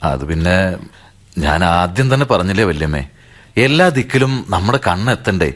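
A man's voice: sits low, animated, normal speed. A man with a Kerala accent playing an earnest, respectful but determined nephew in a radio drama. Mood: weary, explaining. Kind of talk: Malayalam radio drama acting.

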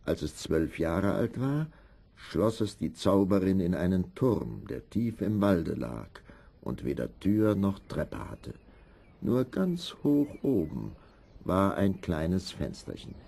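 A man narrating a scripted fairy tale in a measured, expressive storytelling manner. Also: low voice, gravelly, slightly rough.

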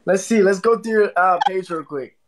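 A man's voice: sits high, normal speed. A young man from Florida hosting a casual phone livestream, thanking viewers for gifts and teasing his guest. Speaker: livestream host. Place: indoor room.

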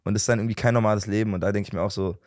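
A man's voice: fairly low pitch, fairly even intonation, racing, darkish tone, dry recording, clean studio sound. A nineteen-year-old man from Berlin, a podcast guest chatting casually into a handheld mic and rambling with many fillers and restarts. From Berlin, Germany. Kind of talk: casual chat. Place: podcast studio, indoors.

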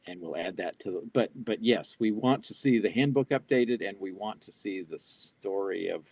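An older man with a husky voice that sits a bit low, answering questions live over a video call. He reads each question out, then talks it through off the cuff with plenty of "um"s and restarts. The sound comes through an earbud mic in a small home office. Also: moderate pace, darkish tone, no echo. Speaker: keynote speaker answering audience questions. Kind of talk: live online Q&A. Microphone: wired earbud headset on a video call.

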